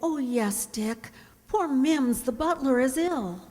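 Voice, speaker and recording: A woman playing a kind young lady of the house, gentle and courteous, in a children's radio play. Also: high-pitched, expressive, takes it slowly. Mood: concerned. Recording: hall-like reverberant stage sound.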